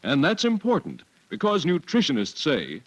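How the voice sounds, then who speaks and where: Animated male old-time radio announcer. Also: a little high, moderate pace, low-quality recording. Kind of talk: scripted 1940s radio commercial and narration.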